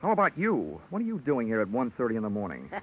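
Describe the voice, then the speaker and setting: Wisecracking hard-boiled detective, deadpan, mid-pitched, vintage radio drama, phone-like sound. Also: male voice, quick pace, breathy edge. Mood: dry, guarded. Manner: wry, clipped, deadpan. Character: hard-boiled, wisecracking male insurance investigator. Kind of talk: 1940s radio detective acting and narration. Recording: thin, phone-like old radio recording.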